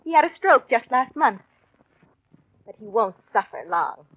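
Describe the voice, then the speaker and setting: Possessive, coolly cruel radio-drama femme fatale. Higher female voice, insistent and coaxing, dry muffled 1940s sound. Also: big pitch swings, measured pace, no echo. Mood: cool menace. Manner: coaxing, insistent, silkily controlled. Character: scheming, possessive mistress, coolly cruel wife. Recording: dull vintage 1940s radio recording.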